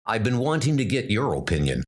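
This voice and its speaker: Man playing an earnest, low-voiced bookish historian. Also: very animated, husky. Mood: earnest, puzzled. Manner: deliberate, probing. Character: earnest, bookish male schoolteacher turned wartime historian.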